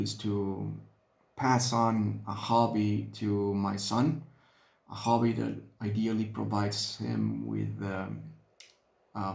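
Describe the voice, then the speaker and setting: Slow, gravelly Spanish-accented man musing. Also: on the low side, a little mellow. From Mexico. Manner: halting, thinking aloud with many restarts and fillers. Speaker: hobby vlogger. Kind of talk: casual talk while painting miniatures. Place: indoor hobby desk.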